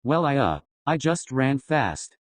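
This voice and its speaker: A man's voice: mid-range voice, big pitch swings, takes it slowly, dry recording. A man playing a cornered, shifty lab worker turned traitor in an amateur audio drama, speaking curtly. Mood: nervous, evasive. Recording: loud music under the voice.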